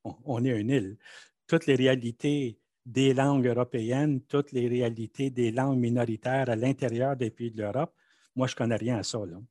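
A man's voice: mid-range voice, fairly even intonation, normal speed, dark tone, no echo, clean studio sound. A man from Manitoba with a Canadian French accent, answering interview questions in a frank, conversational, emphatic way.

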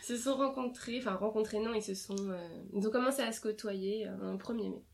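A young French woman in a relaxed interview, talking over her upbringing. She hesitates often, fills pauses with small sounds and restarts her sentences, in an ordinary mid-range voice. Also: mid-range voice, vocal fry. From France. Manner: hesitant, many fillers and restarts. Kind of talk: casual interview conversation.

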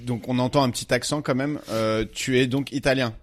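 A man's voice: medium pitch, steady tone, brisk. A man with a mid-range voice chats informally as a podcast co-host. He teases the others and keeps slipping in jokey remarks. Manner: teasing, jokey. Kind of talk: casual chat.

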